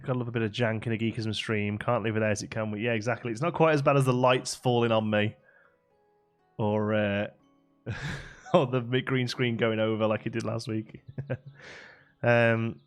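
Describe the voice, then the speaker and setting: A man with a West Midlands accent from Staffordshire, chatting casually as he streams a game and answers viewers from his home setup. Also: on the low side. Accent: West Midlands English. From Staffordshire, England. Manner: chatty and easygoing, frequent restarts and fillers. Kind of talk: casual live-stream chat, reading and answering chat. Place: home streaming room with green screen.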